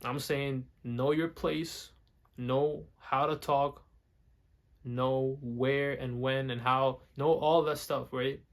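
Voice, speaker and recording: A man's voice: medium pitch, fairly even intonation, takes it slowly. Young guy vlogging, english not his first language, keeps restarting sentences, mid voice. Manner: rambling, repeats words and restarts a lot. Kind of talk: casual talk to camera.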